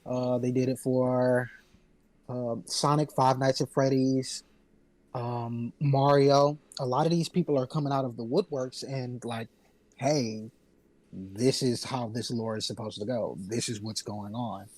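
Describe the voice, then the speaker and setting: A man's voice: medium pitch, steady tone, a little slow, darkish tone, dry recording, rough recording. Earnest man explaining in a dry-sounding space. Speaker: podcast panelist. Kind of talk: casual panel discussion, explaining game lore.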